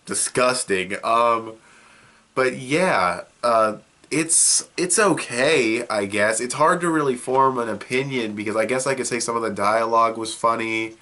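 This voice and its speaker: A man's voice: medium pitch, measured pace, pushed and bright. Rambling young man with a cold. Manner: rambling, loose and offhand, with many fillers and restarts. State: sick with a cold, sniffling. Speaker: video reviewer. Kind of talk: casual video review.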